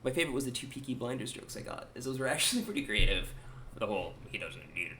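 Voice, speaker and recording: A man's voice: mid-range voice, big pitch swings, quick pace, sharp tone, slight background noise. A man, a gaming channel host, talking casually to his viewers in a rambling, wry way with self-deprecating asides. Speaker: gaming YouTuber.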